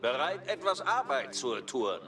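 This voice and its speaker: An actor playing an obedient medieval German villager in a video game, giving short, eager replies. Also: male voice, mid-range voice, big pitch swings, unhurried, bright, forceful tone, exaggerated voice. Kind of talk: video game unit voice lines. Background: game music.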